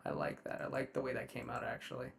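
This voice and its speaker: A man's voice: medium pitch, lively intonation, fast talker, vocal fry, fairly bright tone. A man hosting a live behind-the-scenes recording stream, chatting informally and thinking aloud. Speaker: ASMR roleplay creator, live stream host.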